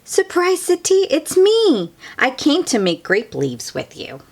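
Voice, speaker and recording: A woman voicing an eager, excited young granddaughter in a children's storybook. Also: sits high, slow, pitched above the performer's own voice, full character voice. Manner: exclaiming, enthusiastic.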